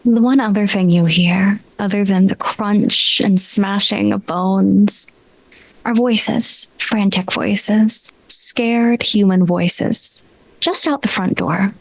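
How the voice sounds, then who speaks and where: A woman narrates as game master in a mid-pitched voice. She phrases deliberately and dramatically, building suspense, with no room echo around her. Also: steady tone. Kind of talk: dramatic improvised horror narration.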